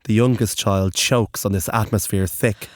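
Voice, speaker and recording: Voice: sits low, steady tone, moderate pace, dry recording. Dry, intense horror narrator. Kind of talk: dramatic scripted horror narration.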